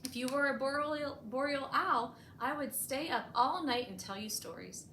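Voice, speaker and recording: A woman reading a picture book aloud for children as a storytime host, expressively and with deliberate emphasis, adding short explanatory asides. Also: sits high, pushed and bright. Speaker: librarian hosting a bedtime storytime. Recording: echoey room with clear background noise.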